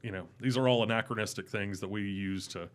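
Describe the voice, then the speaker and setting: A young adult man with a loud, carrying voice, telling stories casually and animatedly as a podcast guest. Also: fairly quick. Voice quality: loud voice that carries. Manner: animated, rising in pitch when excited. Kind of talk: casual storytelling interview.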